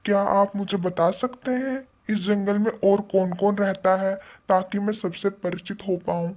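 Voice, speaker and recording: A man's voice: sits high, steady tone, moderate pace, darkish tone, natural voice. A man playing a polite, chatty male bird neighbour in a cartoon story, with a deferential, explanatory way of talking. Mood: polite, inquiring. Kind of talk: Hindi cartoon story dialogue.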